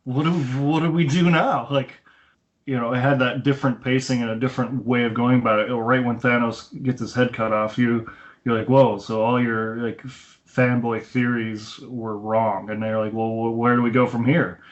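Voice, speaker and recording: Slightly dull, lo-fi internet call audio carries a man with a mid-pitched voice. He co-hosts a film podcast, talking in a loose, rambling, conversational style. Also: level tone, moderate pace. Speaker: podcast co-host reviewing films. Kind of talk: casual film discussion.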